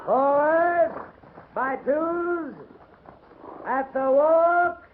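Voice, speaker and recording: A thin, muffled vintage radio recording of a cavalry sergeant calling orders. The voice is very high and bellowed, with very slow, stretched commands, as in a 1950s radio western. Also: male voice, a little hiss or hum, exaggerated voice. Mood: bellowing. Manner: shouted, drawn-out parade commands. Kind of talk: 1950s radio drama acting.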